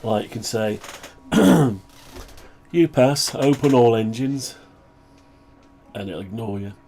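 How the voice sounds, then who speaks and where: A man talking through a project update on his own software, rambling and thinking aloud, voice groggy from the flu. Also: mid-range voice, takes it slowly. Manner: rambling, thinking aloud, frequent throat clearing. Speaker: hobbyist maker showing his own software. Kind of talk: casual screen-share project update.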